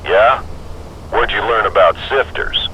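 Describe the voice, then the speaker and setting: A man playing a gruff older starship engineer in an audio drama, with a folksy, clipped, probing way of talking. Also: fairly low pitch, unhurried, pushed and bright, highs cut off, slight background noise. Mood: gruff, wry. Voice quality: gruff, gravelly.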